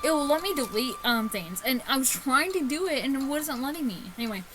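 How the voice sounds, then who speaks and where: High, lively woman doing casual game commentary. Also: fairly quick, low-quality recording. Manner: sweary, giggly, stumbles while reading. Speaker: gaming YouTuber. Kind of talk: casual let's play commentary and read-aloud of a visual novel. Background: music behind the voice.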